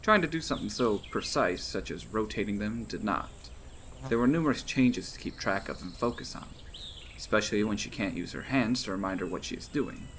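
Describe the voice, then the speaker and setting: A man's voice: fairly low pitch, animated, brisk, a bit bright, slight background noise. A man reading his own fantasy novel aloud as scripted audiobook narration.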